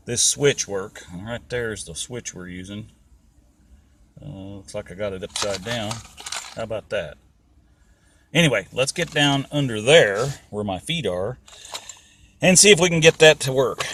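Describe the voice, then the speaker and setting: An American man talks through a car repair in a bright, forward voice at a medium pitch. His delivery is folksy and rambling, full of casual colloquial turns. The handheld camera audio carries some faint noise. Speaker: DIY car repair vlogger.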